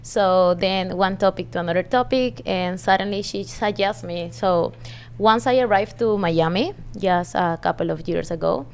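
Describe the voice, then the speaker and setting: Smooth-voiced woman. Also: fairly low pitch, moderate pace. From Peru. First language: Spanish. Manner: hesitant with "um" fillers, non-native grammar. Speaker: entrepreneur guest. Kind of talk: casual interview answers.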